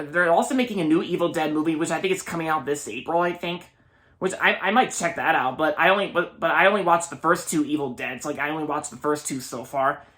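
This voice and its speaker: A man's voice: a little high, a bit bright. A man in his twenties giving a casual, enthusiastic movie review, often repeating words and restarting his sentences. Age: 20s. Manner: enthusiastic, gushing.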